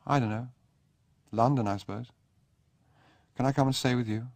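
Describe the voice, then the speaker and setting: A man voicing a wretched young aristocrat, raised well above the reader's own deep register. The delivery is clipped and upper-class, swinging between pleading and sullen. The sound is close and dry. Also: normal speed, a little mellow, no room sound, close to an everyday voice.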